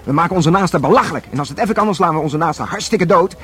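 An actor playing a young, hot-headed, cynical police detective in a radio play, argumentative and sarcastic. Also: male voice, on the high side, lively intonation, rapid-fire, fairly bright tone. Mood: bitter, sarcastic.

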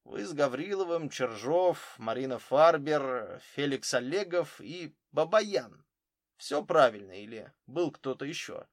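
A man's voice: a little high, animated, no echo, studio quality. Man reading as courteous, probing detective. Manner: brisk, precise questioning. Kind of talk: audiobook character dialogue.

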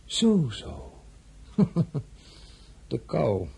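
An actor playing an imperious, suspicious emperor in a radio drama, commanding, formal and sarcastic. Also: male voice, mid-range voice, sing-song, drawn-out words, gravelly, darkish tone, husky, heavily acted. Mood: sardonic. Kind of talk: Dutch radio drama dialogue.

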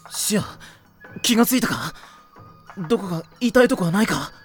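A woman's voice: mid-range voice, expressive, moderate pace, over music, natural voice. A woman playing a kind, easily flustered teenage boy in an anime audio drama, with casual boyish speech. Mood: concerned, grateful.

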